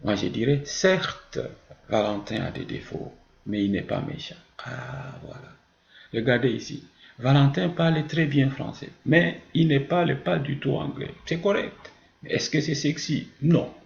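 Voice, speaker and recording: Gravelly male French teacher in an echoey room. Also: mid-range voice, a little slow. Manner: didactic, asks and answers his own questions. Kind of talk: grammar lesson with spoken examples. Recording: muffled, roomy, echoing sound.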